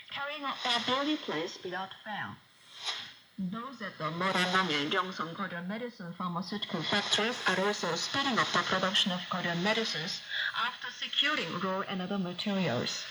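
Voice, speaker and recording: A woman reading scripted news as a radio announcer, formal and solemn with deliberate phrasing, heard over shortwave. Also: medium pitch. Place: radio broadcast studio, received over shortwave. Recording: noisy shortwave radio reception.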